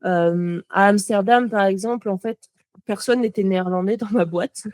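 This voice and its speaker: A woman's voice: mid-range voice, normal speed, a little mellow, no room sound, clean studio sound. A young French woman, a motion designer, chatting as a podcast guest, talking freely with lots of fillers and restarts. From France. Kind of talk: casual podcast conversation.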